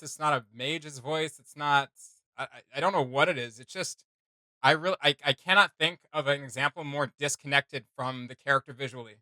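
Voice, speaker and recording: A man with a bright, forward voice, pitched a little high, hosts a reaction video. He talks casually and hesitantly, thinking aloud in a dry, close sound. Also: no room sound. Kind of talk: casual spoken commentary.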